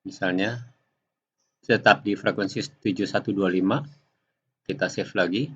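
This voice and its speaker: Low-pitched man, dull lo-fi sound. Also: steady tone, breathy edge. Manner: step-by-step, halting explanation. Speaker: software tutorial presenter. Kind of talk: unscripted screen-recording tutorial. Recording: dull lo-fi home recording.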